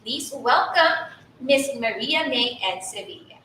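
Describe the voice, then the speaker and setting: A woman with a Filipino accent co-hosting a teacher training webinar, switching between English and Tagalog in a lively manner. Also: high voice, takes it slowly, very echoey, distant mic, slight background noise. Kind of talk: bilingual webinar hosting, reading introductions.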